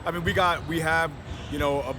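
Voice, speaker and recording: A man's voice: a little high, fairly even intonation, brisk. An American man in middle age speaking as a tournament director in a casual interview, with informal, chatty phrasing. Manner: informal, peppers talk with "hey" and "you know". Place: at a basketball tournament venue. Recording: noisy gym, bouncing balls.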